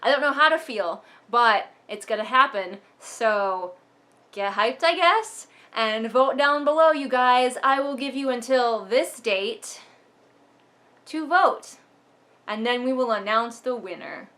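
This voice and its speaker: A woman's voice: on the high side, slow, pushed and bright. A woman hosting a book vlog, chatting casually to viewers and reading aloud with wry, sardonic asides. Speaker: book commentary vlogger and host.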